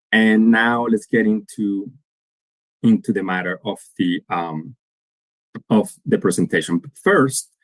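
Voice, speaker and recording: A man's voice: mid-range voice, a little mellow, no echo, low-quality recording. A man, giving an earnest conference talk to students and restarting his phrases often. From Colombia. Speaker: guest speaker.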